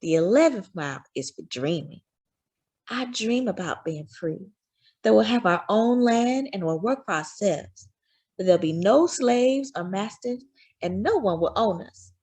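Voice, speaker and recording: A woman voicing a loving, hopeful mother who talks gently and expressively to her young son in a storybook. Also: medium pitch, lively intonation, unhurried, husky. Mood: dreamy. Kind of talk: storybook character voice.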